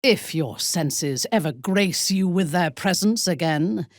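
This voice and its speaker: A ruthless female drow warrior for a fantasy video game. Her voice is low and husky, delivered slowly and with haughty, formal diction. The intonation moves widely, from cold seduction to imperious command. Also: animated, no echo, clean studio sound. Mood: cool, haughty. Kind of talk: video game character acting.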